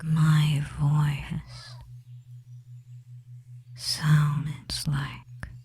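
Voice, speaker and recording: Woman as a slow, whispering, hypnotic male witch. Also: low-pitched, monotone, a little hiss or hum, heavily acted. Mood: lulling, controlling. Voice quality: breathy, hushed. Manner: slow, commanding, repetitive, with long pauses. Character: devious, dominating young male witch, soft and hypnotic. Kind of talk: whispered ASMR hypnosis roleplay.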